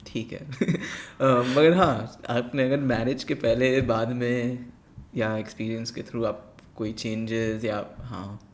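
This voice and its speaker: A man's voice: medium pitch, steady tone, takes it slowly. A man hosting a casual conversation, asking questions and murmuring agreement while his guest talks. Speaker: host. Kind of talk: interviewing.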